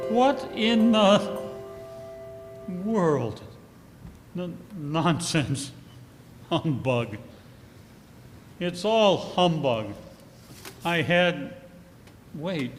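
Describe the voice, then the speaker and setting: Male actor as jumpy old miser, scornful, stubborn, high-pitched, halting, very drawn-out pacing, reverberant hall, radio drama. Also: expressive, exaggerated voice. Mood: alarmed, disbelieving. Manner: halting, stammering, exclamatory. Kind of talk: 1940s radio play acting. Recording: reverberant hall, loud music.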